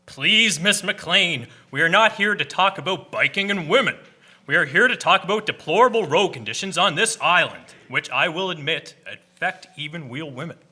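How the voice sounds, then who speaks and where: A man's voice: on the high side, lively intonation, moderate pace, pushed and bright, husky, exaggerated voice. A man acting a formal, officious meeting chairman of the 1890s, declaiming in orderly fashion in a radio drama. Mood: exasperated, firm.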